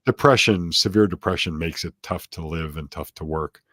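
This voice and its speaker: A middle-aged man with a very low voice talks as a guest in a casual interview. He drifts onto tangents and pokes fun at himself. His voice sounds close and dry, with no room in it. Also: moderate pace, fairly bright tone, no room sound. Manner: rambling, self-deprecating, prone to tangents.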